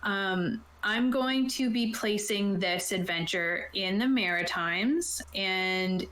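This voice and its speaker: A woman's voice: medium pitch, slow pace, fairly bright tone. A woman game master casually explaining a tabletop game setup, chatty and informal with jokey asides. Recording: roomy, echoey sound.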